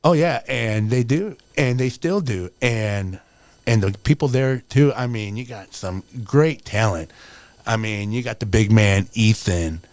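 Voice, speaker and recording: Brief: forties male pro wrestler, slightly low voice, heartfelt, rambling pep talk. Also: a little slow, a little mellow, no room sound. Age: 40s. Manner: earnest, motivational, rambling with many fillers. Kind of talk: casual podcast interview.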